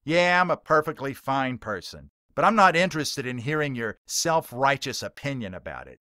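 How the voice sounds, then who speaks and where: A man's voice: mid-range voice, very animated, moderate pace, sharp tone, no echo, clean studio sound. A man reading a story voicing a hostile, bold heckler who rants sharply and accusingly. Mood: angry. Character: hostile young male heckler, bold and scornful.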